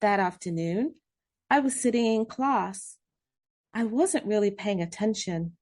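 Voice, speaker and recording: A woman narrating a children's storybook, reading expressively with drawn-out emphasis and dramatic pauses. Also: medium pitch, darkish tone. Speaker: read-aloud host narrating children's books. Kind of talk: scripted children's storybook narration in first person.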